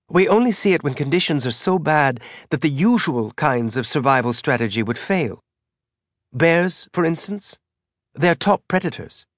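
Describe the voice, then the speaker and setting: A man's voice: a little high, no room sound, studio quality, voice pushed up, lightly acted. A man voicing a nervous young woman scientist who rambles eagerly, with a rising lilt.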